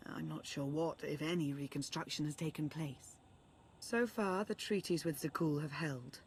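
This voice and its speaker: A female Sith strategist from a sci-fi video game. Her voice sits low for a woman, and she speaks formally and with measured care, earnest and composed. A faint ambient noise runs behind her. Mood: grave, composed. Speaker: advisor and ally. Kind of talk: scripted game dialogue, briefing.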